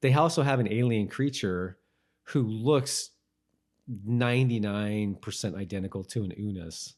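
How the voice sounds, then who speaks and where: A man with a fairly low voice co-hosts a rewatch podcast. He talks in a casual, informal way, often halting as he thinks out loud. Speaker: podcast co-host. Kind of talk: casual chat about a TV rewatch.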